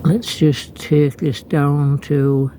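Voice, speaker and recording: A man's voice: fairly high pitch, little pitch movement, extremely slow, warm tone, slight background noise. A man narrating an unscripted hands-on demonstration as an amateur radio hobbyist, hesitant and thinking aloud as he works. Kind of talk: unscripted how-to vlog narration.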